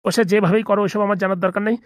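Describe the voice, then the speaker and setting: A man's voice: high-pitched, little pitch movement, racing, no room sound, studio quality, lightly acted. A man voicing a blunt, self-important small-time gangster boss in a comedy cartoon, bossy and businesslike with a Bengali accent. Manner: bossy, businesslike, repeatedly seeking agreement.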